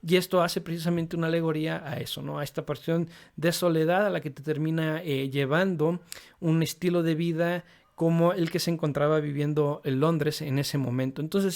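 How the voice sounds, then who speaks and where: A man giving an unscripted explanatory talk as a photography channel host, relaxed, with frequent hesitations. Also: fairly high pitch. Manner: relaxed, frequent fillers "eh" and "este".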